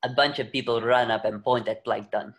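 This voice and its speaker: A man reads out stage directions as narrator. His voice sits at a medium pitch, describing the action from a script. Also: steady tone, fairly quick, very noisy.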